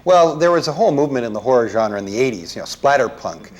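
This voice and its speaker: A man's voice: medium pitch, lively intonation, quick pace, rough recording. A man talking as a guest panelist in a conversational film discussion, explaining his points.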